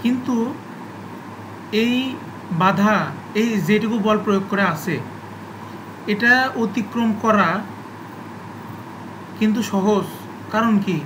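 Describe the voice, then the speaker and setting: Male informal tech tutor, halting and repetitive for emphasis, unscripted, clearly noisy recording. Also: high voice, steady tone. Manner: halting, often repeats phrases for emphasis. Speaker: explainer of physics and electronics. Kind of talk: informal unscripted technical explanation. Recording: clearly noisy, constant background hum.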